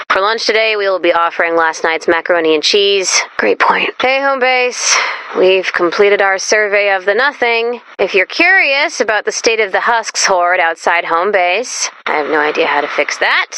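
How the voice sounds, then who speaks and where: A woman voicing a perky, quirky young female robot sidekick in a video game, chatty and expressive with an anxious, earnest streak. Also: on the high side, rough recording. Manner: expressive, quippy, nervously chatty. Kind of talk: video game character voice lines.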